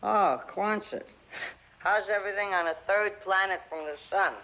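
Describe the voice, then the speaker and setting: A man's voice: high voice, normal speed, rough recording. An actor playing an easygoing, wisecracking man living on the moon. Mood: wry. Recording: loud music behind.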